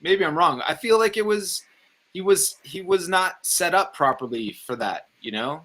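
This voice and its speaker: Bright, hesitant man in casual discussion. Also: high-pitched, animated, moderate pace. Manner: hesitant, tentative, circling his point. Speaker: co-host of a film review show. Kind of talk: casual film discussion chat.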